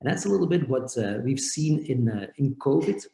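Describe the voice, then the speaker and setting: A man from Belgium, a company founder, explaining his work step by step in a measured way over a video call. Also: medium pitch, animated, vocal fry, dark tone. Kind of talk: explanatory interview answers. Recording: echoey room.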